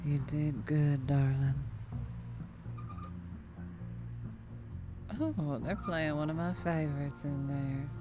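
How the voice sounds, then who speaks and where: A woman's voice: deep voice, over music. A woman voicing a teasing, menacing, sweet-talking vampire trucker with a Southern US accent, in an intimate ASMR roleplay. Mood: teasing, soothing. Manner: slow, teasing drawl with pet names and chuckles.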